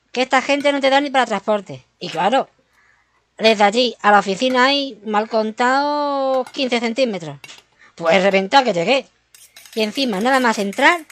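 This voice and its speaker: A woman's voice: a little high, a bit bright. A woman playing a naive, good-natured family man in a comic radio play, with an accent from Spain and an exclamatory delivery. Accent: Spanish (Spain).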